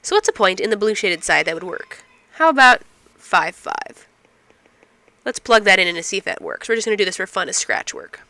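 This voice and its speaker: A woman's voice: medium pitch, very animated, normal speed, sharp tone, no echo. A woman instructor explaining math step by step, asking and answering her own questions, while getting over a cold. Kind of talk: recorded math lecture.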